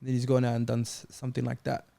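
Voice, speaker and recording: Male football panelist, creaky voice, dry sound, casual debating style. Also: medium pitch, completely flat delivery, fast, warm tone, no room sound. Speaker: football talk show panelist.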